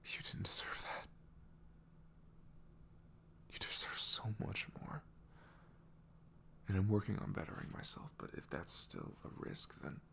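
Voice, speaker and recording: Guy acting as sorry ex bf, soft whispery asmr roleplay, pitch moves around a lot, hesitant n vulnerable. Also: heavily acted. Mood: regretful, tender. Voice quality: breathy, half-whispered, velvety low voice. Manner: hesitant, halting, emotional delivery. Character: apologetic, remorseful ex-boyfriend, tender and vulnerable.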